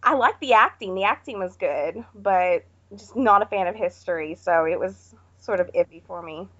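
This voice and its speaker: A woman's voice: mid-range voice, normal speed, fairly bright tone, muffled recording. A woman chatting casually about films as a podcast panelist. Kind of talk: casual film chat.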